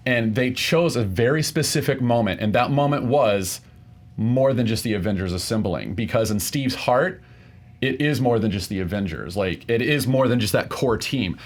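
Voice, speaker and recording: A man's voice: medium pitch, moderate pace. Male debate-show contestant, mid-range voice, emphatic and conversational, leans on repetition to press a point. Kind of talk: casual pop-culture debate.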